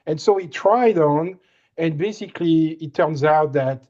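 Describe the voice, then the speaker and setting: A man with a light French accent, a winemaker, talking casually and haltingly with many fillers on a video call. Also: fairly high pitch, darkish tone, highs cut off. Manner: hesitant, many fillers and restarts.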